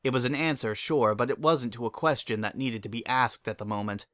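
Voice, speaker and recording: A man's voice: mid-range voice, fairly quick, a bit bright, no echo, studio quality. A man narrating a scripted dramatic reading of a story.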